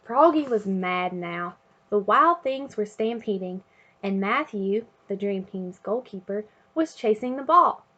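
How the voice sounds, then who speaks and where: A woman reading a children's picture book aloud in an expressive way, chanting refrains and sound words. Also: mid-range voice, a little slow, dull audio. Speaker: storybook reader.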